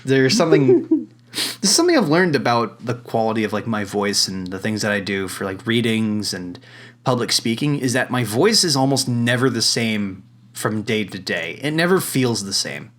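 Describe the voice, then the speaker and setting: A young man co-hosting a podcast, deep-voiced today, rambling with fillers and frequent laughs. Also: expressive, moderate pace, a bit bright. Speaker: podcast co-host. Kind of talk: casual chat.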